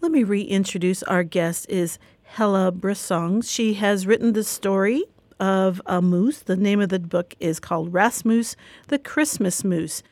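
A woman's voice: mid-range voice, unhurried, a little mellow, no echo. Upbeat woman interviewer, dry sound. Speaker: interview host.

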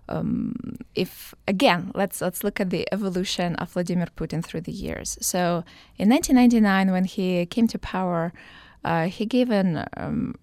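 A woman's voice: mid-range voice, a little mellow, no room sound, clean studio sound. A woman from Ukraine, a political science professor, answering radio interview questions in a thoughtful way with many fillers and restarts.

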